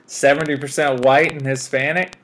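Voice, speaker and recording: A man's voice: mid-range voice, level tone, moderate pace, fairly bright tone. A man from Tennessee hosting a casual podcast, chatty, reading facts aloud to his guest. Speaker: podcast host.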